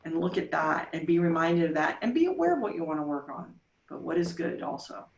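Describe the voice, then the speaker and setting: A woman's voice: low-pitched, very animated, fairly quick, gravelly, recorded from a distance, lo-fi sound. Woman in her 40s coaching hard, punchy questions, sounds a bit off mic in a roomy space. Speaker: podcast guest. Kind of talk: casual interview talk.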